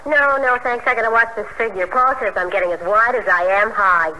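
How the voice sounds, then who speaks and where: An actress playing a brash, slangy married friend in an old radio drama, emphatic and cynical about men. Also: female voice, fairly high pitch, fairly quick, natural voice. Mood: gossipy, cynical. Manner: emphatic, slangy, advice-giving. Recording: faintly noisy old radio recording.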